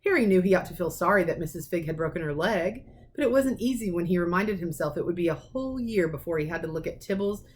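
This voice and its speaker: A woman reading a children's novel aloud as a teacher would, in an expressive storytelling manner. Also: lowish voice, quick pace. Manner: animated, acts out dialogue.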